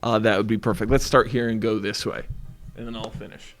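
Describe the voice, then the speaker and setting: A man's voice: fairly low pitch, flat delivery, a little fast. A man speaking as a teacher hosting an informal student podcast, casual and encouraging, with hesitant phrasing. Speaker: high school radio and TV teacher.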